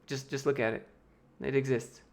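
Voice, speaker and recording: A man's voice: mid-range voice, level tone, normal speed, vocal fry, a little mellow. An American man hosting a livestream, reading viewer questions aloud and answering them in a loose, joking, offhand way. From United States. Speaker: YouTube host answering chat. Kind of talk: casual livestream Q&A.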